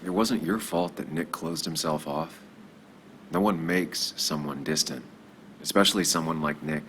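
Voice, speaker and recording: An actor playing a brooding, loyal journalist in his thirties in a video game, with measured, understated delivery. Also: rumbling low, a bit bright, a little hiss or hum. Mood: consoling, somber. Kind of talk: naturalistic video game acting.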